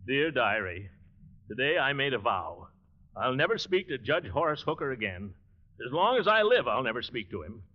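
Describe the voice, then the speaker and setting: An actor playing a pompous, easily exasperated middle-aged uncle in a 1940s radio sitcom, flustered and grumbling theatrically. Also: male voice, mid-range voice, sing-song, normal speed. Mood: solemnly indignant. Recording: dull band-limited 1940s radio recording.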